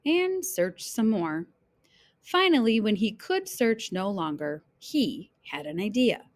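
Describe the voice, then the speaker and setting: A woman reading a children's picture book aloud in an expressive, dramatic storyteller's way, phrasing deliberately for young listeners. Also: medium pitch. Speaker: read-aloud storyteller, teacher.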